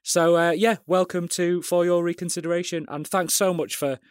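A man's voice: sits high, flat delivery, normal speed, clear, resonant tone, no room sound, studio quality. A man co-hosting a podcast, sounding nasal from a cold, chatting in a friendly way with many small fillers. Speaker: podcast co-host. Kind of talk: casual chat.

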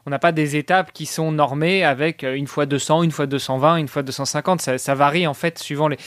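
Chatty French podcast host, higher voice. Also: male voice, steady tone, a little fast, fairly bright tone, no room sound, studio quality. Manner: chatty, many fillers and restarts. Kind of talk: casual podcast interview.

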